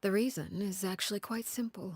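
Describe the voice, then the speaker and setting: An actress playing a cold, commanding woman in a video game, speaking in a measured, formal, menacingly calm way. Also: medium pitch, husky, no room sound, studio quality. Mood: calm, detached. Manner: measured, formal, coolly authoritative.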